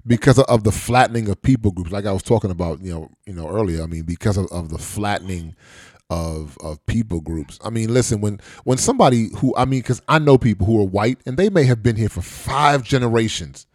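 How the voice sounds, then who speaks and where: A man's voice: lowish voice, a little fast, darkish tone, no echo, studio quality. A middle-aged man hosting a podcast in casual chat, easygoing and rambling, with many fillers and restarts. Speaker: podcast host.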